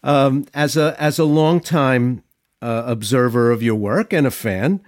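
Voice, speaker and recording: A man of around sixty interviewing conversationally, building his questions gradually with halting, thoughtful phrasing. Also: medium pitch, no room sound, studio quality. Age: middle-aged or older, around 60.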